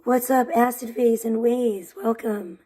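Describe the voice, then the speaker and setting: A woman live streamer, hoarse and losing her voice, chatting bubbly and rambling with her viewers while making tea in her kitchen. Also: on the high side, fairly even intonation, a little slow, background noise. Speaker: live streamer greeting chat. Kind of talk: casual live stream chat.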